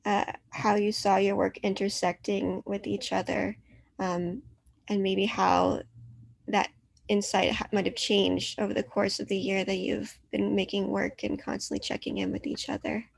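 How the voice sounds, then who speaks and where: A woman curator introduces the artists in a flat, even tone with a lot of vocal fry. She talks politely and fills her pauses with ums, and her voice sounds close and dry, recorded at home in her apartment. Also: mid-range voice, no room sound. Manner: polite, filler-heavy presenting. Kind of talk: hosting a virtual artist talk.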